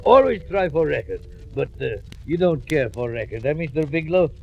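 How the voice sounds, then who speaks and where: Gravelly genial French fishing guide. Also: male voice, on the high side, expressive, fairly quick. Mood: jovial, chatty. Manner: broken English with French words. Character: genial French fishing guide, stamp-loving, humble and chatty. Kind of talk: 1940s radio acting. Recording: muffled, noisy old radio recording.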